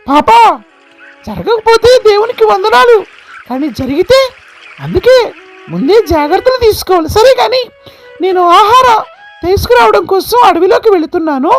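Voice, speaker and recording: A man's voice: very high voice, sing-song, normal speed, pauses often, faint music behind, exaggerated voice. A man voicing a worried, caring mother deer who patiently warns her child. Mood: caring, warning. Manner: gentle, warning, patient.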